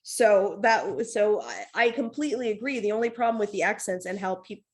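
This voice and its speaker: A woman speaking in a relaxed, rambling way as a podcast guest. Her pitch sits in the middle, and she laughs easily and restarts her sentences often. The overall feel is casual, animated conversation. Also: brisk. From North America.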